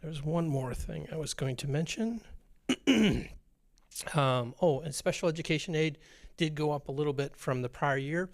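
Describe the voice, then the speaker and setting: A hoarse man, a school district business director giving an informal budget presentation at a public meeting, with many fillers and restarts. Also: fairly high pitch, moderate pace, pauses often, no room sound, studio quality.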